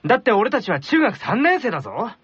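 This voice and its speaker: A man's voice: high-pitched, sing-song, fast talker, fairly bright tone, slightly rough, no room sound, exaggerated voice. A man playing an earnest, upbeat middle school tennis boy presenting in an anime radio drama. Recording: dull radio sound with music behind.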